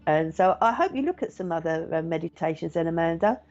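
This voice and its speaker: Woman, middle-aged, gentle chatty meditation teacher, low gravelly voice, dull lo-fi audio. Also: expressive, fairly quick. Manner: gentle, chatty, reassuring, with frequent fillers. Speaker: meditation teacher and psychic medium. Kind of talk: casual live-stream chat reading viewer comments.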